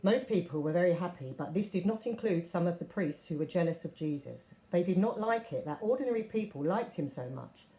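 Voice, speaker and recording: Mellow, low-voiced woman reading a story. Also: animated, a little fast, hardly any pauses, poor audio. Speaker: story reader. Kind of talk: scripted narration of a children's Bible story.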